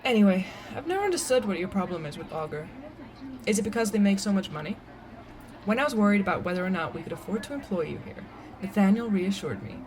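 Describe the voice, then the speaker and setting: An actress playing a bubbly, teasing young coffee-shop worker in an audio drama, casual and playfully mocking, with faint room ambience. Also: female voice, medium pitch, lively intonation, fast, darkish tone, slight background noise, lightly acted, rough recording.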